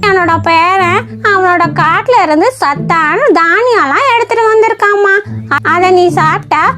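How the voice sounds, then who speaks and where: A tiny, extremely high-pitched bird. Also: female voice, moderate pace, over loud music. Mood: kindly, reassuring. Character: tiny-voiced female storybook bird, earnest and direct. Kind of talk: children's cartoon story voice acting.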